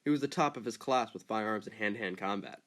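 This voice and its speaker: A man's voice: lowish voice, fast talker, a bit bright, lightly acted. A man playing a stern, distrustful ship captain who speaks bluntly and gives orders. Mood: firm. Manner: blunt, commanding.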